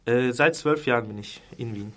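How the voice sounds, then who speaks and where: A man's voice: medium pitch, normal speed, a bit bright. A man in his thirties from Turkey, a native Turkish speaker with a Turkish accent, chatting as an interview guest and often repeating words as he searches for phrasing. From Istanbul, Turkey. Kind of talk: casual interview chat. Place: radio studio.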